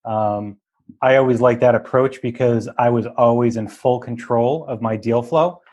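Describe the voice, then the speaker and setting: Guest: relaxed man, mild Boston accent, chatty investor, mid-pitched, quick to laugh. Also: highs cut off. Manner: laughs often, easygoing. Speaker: podcast guest, real estate investor. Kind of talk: casual interview chat.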